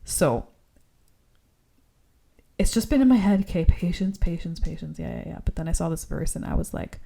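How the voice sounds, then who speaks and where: A woman's voice: lowish voice, expressive, moderate pace, gravelly, warm tone. A young woman co-hosting a faith-based podcast, talking earnestly and rambling, often laughing and saying "like". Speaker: podcast co-host.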